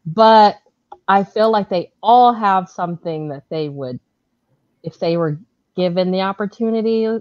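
A woman's voice: mid-range voice, measured pace, dry recording. A young adult woman chatting casually and expressively as a panelist in an online book discussion. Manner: informal, expressive. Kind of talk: casual chat. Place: indoor home room on a video call. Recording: slightly dull video-call audio.

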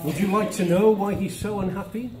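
A man's voice: high-pitched, fairly even intonation, fairly quick, lightly acted. An older man playing a ceremonious vizier who narrates an amateur stage pantomime with formal, theatrical announcements. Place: village hall stage, indoors. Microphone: distant camera in the audience. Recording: echoey hall, distant, very noisy.

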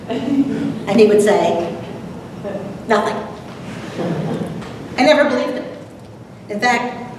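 A middle-aged or older woman speaking to an audience, telling a personal anecdote before a reading. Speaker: speaker and dramatic reader. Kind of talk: introductory talk with personal anecdote. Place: large lecture room. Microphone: distant camera mic. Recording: very echoey hall, distant, noisy rumble.

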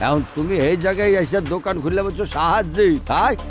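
A man's voice: high-pitched, fast talker, over music, lightly acted. A man voicing a sneering small-time gang boss in a cartoon, with menacing street talk. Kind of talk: cartoon dub acting.

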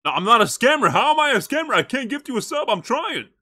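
A man's voice: very high voice, very animated, quick pace, pushed and bright, husky, dry recording. A young man livestreaming, chatting casually and reacting to clips in slangy, swear-laden talk on a close mic. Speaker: live streamer.

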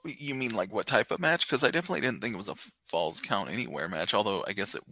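Male co-host, low gravelly husky voice, lively pitch, quick agreeing replies. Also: no echo. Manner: short agreeing replies, laughs along. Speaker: podcast co-host. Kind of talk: casual wrestling review chat.

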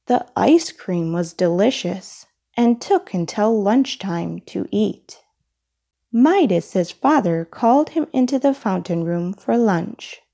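A woman reads a children's picture book aloud with expressive care. Her pace is very unhurried, and her voice is soft and mellow with some fry in it. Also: mid-range voice. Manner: deliberate, expressive storytelling. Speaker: storybook reader.

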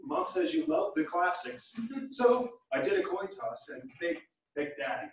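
An actor plays a grown man teasing and charming in a stage drama. His voice is lively and swings in pitch, picked up from far off in a very echoey theatre. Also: measured pace, full character voice. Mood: cheerful, charming. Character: chatty, teasing grown son charming his mother's friends. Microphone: distant camera.

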